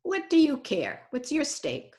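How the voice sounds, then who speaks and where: A woman's voice: high-pitched, wildly swooping pitch, a little slow, warm tone, exaggerated voice. A woman playing a brassy, wisecracking Broadway dame with sardonic slang, in old-time radio drama style. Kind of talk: 1940s radio drama acting.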